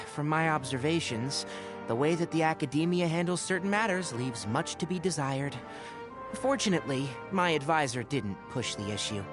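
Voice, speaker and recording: A youthful male fox-eared forest scholar from a video game, acted by a man, speaks in a fairly high, lively voice. He explains things articulately and earnestly, with dry patience and a gentle wryness. Music plays behind him. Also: normal speed, lightly acted. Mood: thoughtful, matter-of-fact. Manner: articulate, instructive, gently wry. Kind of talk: scripted game character voice lines.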